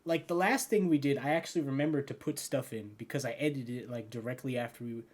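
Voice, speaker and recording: A man's voice: mid-range voice, fast. A young man hosting a casual podcast chat, rambling with lots of fillers and frequent laughter. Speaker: podcast host and editor.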